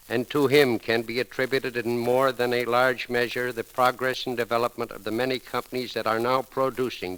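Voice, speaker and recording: Forceful American man reading a solemn radio eulogy. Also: mid-range voice. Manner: solemn, oratorical, reading from a script. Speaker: newspaper editor giving a eulogy. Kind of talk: formal scripted radio eulogy. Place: radio broadcast. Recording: crackly, sizzling 1930s radio recording.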